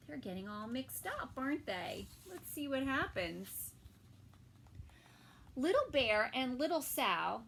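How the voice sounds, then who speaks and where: Slow, expressive storytime teacher. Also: female voice, a little high, slight background noise. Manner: gentle asides, soft whispered comments. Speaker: preschool teacher. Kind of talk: reading a picture book aloud to young children.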